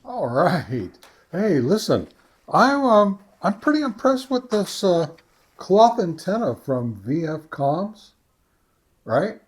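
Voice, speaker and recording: A man giving a casual, informal product review and field test, talking in a halting, conversational way. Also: high-pitched, very animated. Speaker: ham radio reviewer and vlog host.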